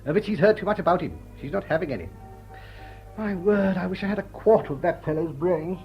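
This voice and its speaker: Muffled period radio drama with music: genial upper-class English grumbler, blustery, bouncing intonation. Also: male voice, high-pitched, lively intonation, fairly quick. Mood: grumbling, genial. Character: amiable, grumbling English gentleman of leisure. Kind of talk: period drawing-room radio drama acting. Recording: dull muffled audio, music underneath.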